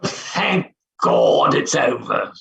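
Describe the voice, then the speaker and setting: An actor playing an overbearing, impatient, scornful professor in a theatrical play reading, blustering and muttering exclamations. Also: male voice, medium pitch, wildly swooping pitch, drawn-out words, gravelly, sharp tone, lo-fi sound, exaggerated voice. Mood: bored, scornful. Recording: roomy echo with faint hiss.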